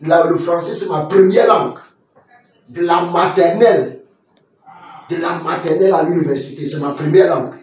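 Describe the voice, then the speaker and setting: A man's voice: on the high side, darkish tone, far from the mic. Nigerian man preaching in an echoey room. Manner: repeats phrases and restarts, quotes scripture slowly. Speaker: Sunday school teacher. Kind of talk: sermon-style Bible lesson with personal testimony.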